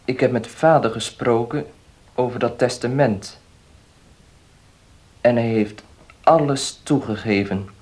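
A male actor plays an earnest nephew in a radio drama. His medium-pitched voice is measured and formal. He sounds solemn, dutiful and defeated. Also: darkish tone, close to an everyday voice. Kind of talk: radio play acting.

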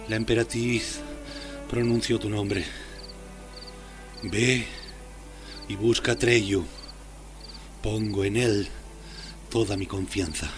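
Slow, low-voiced solemn imperial sage. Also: male voice. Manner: solemn, deliberate, portentous. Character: grave, solemn male messenger and sage of the imperial tower. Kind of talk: radio drama acting. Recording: radio play with music underneath.

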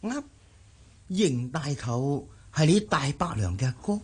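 A self-important older schemer in a Cantonese radio drama. The voice is raspy and theatrical, with drawn-out words and big pitch swings. He is callous and lecherous. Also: sing-song, exaggerated voice. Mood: callous, conniving.

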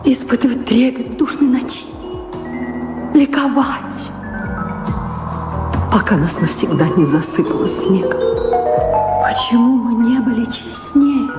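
A woman's voice: fairly high pitch, expressive, measured pace, long pauses. An actress playing an anguished middle-aged noblewoman in a radio drama, speaking lyrically and with emotional weight. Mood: aching regret. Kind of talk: theatrical radio drama acting. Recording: echoey hall reverb, music behind, radio play.

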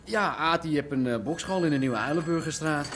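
An actor playing a crime boss's dutiful, easily cowed son in a naturalistic radio drama, talking haltingly and unsurely. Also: male voice, fairly high pitch, expressive, fairly bright tone, slight background noise. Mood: dutiful, eager.

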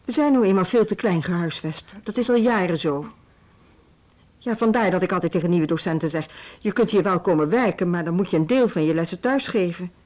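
A woman with a Netherlandic Dutch accent playing a firm, put-upon music school head in a radio drama, brisk and argumentative. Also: fairly low pitch, darkish tone, close to an everyday voice. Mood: businesslike. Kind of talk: naturalistic radio play dialogue.

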